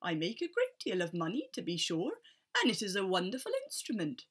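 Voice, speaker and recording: A woman's voice: medium pitch, wildly swooping pitch, a little fast, husky. A woman voicing a smug, wheeling-dealing bagpipe player in a folktale.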